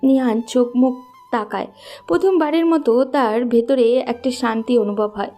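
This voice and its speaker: A woman's voice: fairly high pitch, moderate pace, dark tone, faint music behind. A woman narrator reading a scripted love story.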